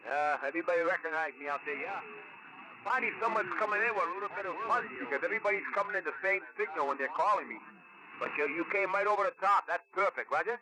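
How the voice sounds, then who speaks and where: Guy on cb radio, talks fast, kinda high voice, buddy-buddy, static all over. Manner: chummy, informal. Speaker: radio operator taking calls. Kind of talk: casual CB radio contact chat. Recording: noisy CB radio reception.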